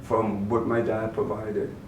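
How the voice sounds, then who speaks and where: A man with a Bahamian accent, a guest in an interview, answering in a measured way and often repeating words as he gathers his thoughts. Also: on the low side, flat delivery, a little mellow. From Turks and Caicos Islands, raised in the Bahamas. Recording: roomy, distant mic with faint noise.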